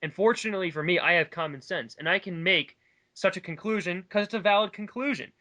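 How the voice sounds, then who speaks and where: A man's voice: high voice, quick pace, bright, forceful tone. A man in a casual, opinionated discussion, talking at length in an emphatic, argumentative way. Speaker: online commentary co-host.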